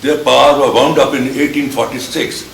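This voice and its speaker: An older man from Punjab, Pakistan, speaking from the audience at a panel discussion in a slow, lecturing way, listing names. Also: mid-range voice, steady tone, gravelly. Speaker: audience member. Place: press club event room. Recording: echoey hall, hissy.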